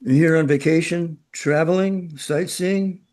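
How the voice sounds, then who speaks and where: A man's voice: fairly high pitch, fairly even intonation, slow, a little mellow. A man acting in a radio drama as a chatty, knowing, worldly man with a breezy, opinionated way of talking. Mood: probing, sly.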